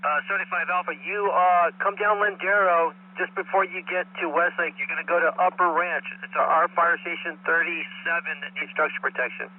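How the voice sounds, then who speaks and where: Higher-voiced man on a thin fire radio. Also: fairly even intonation, brisk, hardly any pauses. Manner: terse, procedural. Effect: two-way radio. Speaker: firefighter in field command. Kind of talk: emergency radio traffic. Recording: distant, phone-like fire radio, faint noise.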